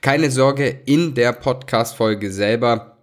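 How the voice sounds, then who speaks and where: A man's voice: mid-range voice, slow pace. A man hosting a podcast, recording a chatty intro while slightly nasal from a head cold and speaking straight to the listener. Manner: chatty, apologetic, addresses listener directly. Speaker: podcast host.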